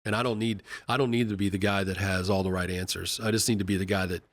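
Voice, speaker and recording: An earnest executive from Kentucky talks in a casual podcast interview, his voice sitting a little low. He often trips over his words and restarts his sentences. The sound is dry and close, with no room echo. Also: fairly even intonation, quick pace. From Kentucky, USA. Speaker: company executive vice president. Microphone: studio podcast mic.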